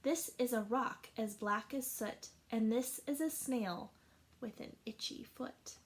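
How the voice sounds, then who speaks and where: A woman teacher reads a rhyming picture book aloud to children. Her voice is on the higher side, and she takes the verses slowly and expressively, lingering on each line. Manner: slow, expressive rhyming narration. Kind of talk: storybook read-aloud.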